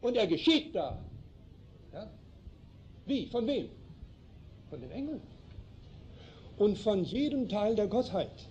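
High, lively preacher in a chapel. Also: male voice. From Canada. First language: German. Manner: slow, emphatic, explains Bible verses. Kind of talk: sermon. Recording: dull lo-fi recording, faint noise.

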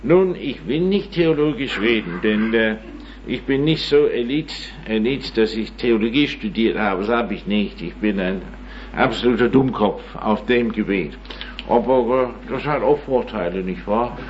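A man's voice: fairly low pitch, lively intonation, measured pace. A man in his nineties giving an informal lecture, rambling and self-mocking, with many hesitations and tag questions. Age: 90s. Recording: dull recording with faint hum.

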